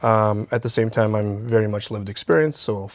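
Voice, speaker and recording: A man from Chile, a community safety consultant, talking openly and in a measured way in an interview about recovery and life without shelter. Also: fairly low pitch, no echo, studio quality. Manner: open, measured, speaks frankly about recovery. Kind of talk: candid interview conversation. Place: theatre stage, live show.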